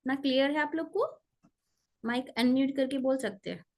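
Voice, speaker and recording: A woman teaching a pronunciation class over a video call, explaining step by step and repeating terms and letters slowly. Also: high-pitched. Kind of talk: online class lecture. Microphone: computer mic on a video call.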